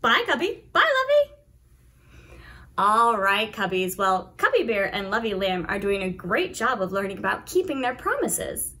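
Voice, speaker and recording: A woman's voice: mid-range voice, very animated, normal speed, sharp tone. A woman in her thirties hosting a children's puppet show, speaking to young children in an encouraging, sing-song way.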